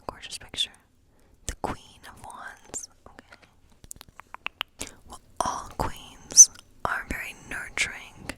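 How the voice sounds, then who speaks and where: Casting: woman to play a kindly cottage witch for ASMR fantasy role play, all in breathy whisper, gentle and musing. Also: close to an everyday voice. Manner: slow, gentle, musing, often repeating phrases. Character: kindly woodland witch reading tarot for a guest. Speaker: ASMR performer.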